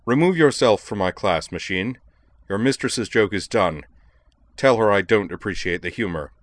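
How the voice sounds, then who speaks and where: A stern male professor, as a male narrator plays him, with a low voice and a dry, close sound. He is cold and scornful, speaking in cutting, formal phrases as in a storybook reading. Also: moderate pace, a bit bright, dry recording. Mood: angry, commanding. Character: cold, harsh, indignant male literature professor, scornful and commanding.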